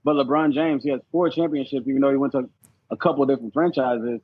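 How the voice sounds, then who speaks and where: A man's voice: mid-range voice, quick pace, slightly rough, phone-quality sound. A man as a podcast guest in a casual sports debate, arguing his points with teasing emphasis.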